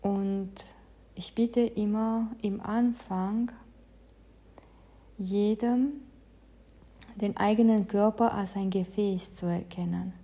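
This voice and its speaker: A woman leads a meditation talk in a slow, unhurried delivery. Her voice is mellow and soft-edged, and her pitch stays level and even. She picks her words with the care of a non-native speaker. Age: adult, past her teens. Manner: careful, measured speech of a non-native speaker. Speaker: meditation teacher. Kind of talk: calm spoken introduction to meditation.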